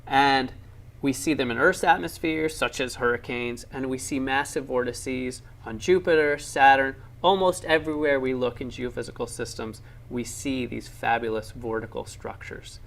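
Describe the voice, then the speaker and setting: A man's voice: mid-range voice, moderate pace, vocal fry, fairly bright tone. A man narrating laboratory science demonstrations for an educational film, explaining with enthusiasm and emphasis.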